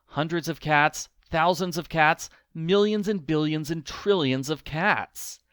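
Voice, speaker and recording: A man reading a children's storybook aloud in an expressive narrating style. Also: fairly high pitch, unhurried, clear, resonant tone, no room sound, studio quality. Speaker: storybook reader.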